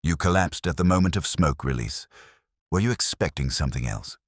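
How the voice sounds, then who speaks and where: A man voicing a calm, courteous police inspector who questions gently and persistently in an audio mystery. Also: a bass voice, a little fast, dry recording, clean studio sound. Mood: probing.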